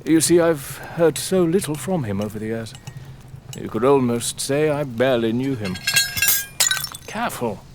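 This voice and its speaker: An actor playing an arrogant, sardonic aristocratic lord in an audio drama, with a British accent and a pompous, theatrical manner. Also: male voice, medium pitch, lively intonation, moderate pace, noisy background, natural voice. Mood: prying, amused.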